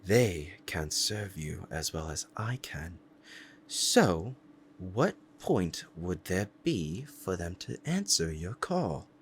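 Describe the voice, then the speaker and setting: Proud demon lover, played by a man, deep-voiced, intense and deliberate, ASMR roleplay, faint background noise. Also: sing-song, heavily acted. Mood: possessive, commanding. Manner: intense, deliberate, dramatic pauses. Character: powerful possessive demon bound to a sorcerer, devoted, proud. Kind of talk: ASMR roleplay acting, dramatic monologue.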